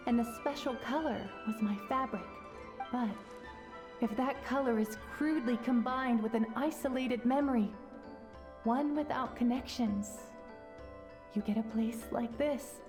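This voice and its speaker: The wise spirit of a female warrior in a video game. Her voice sits a little high and stays calm. She explains things gently and with composure over clear background music. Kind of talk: video game character acting.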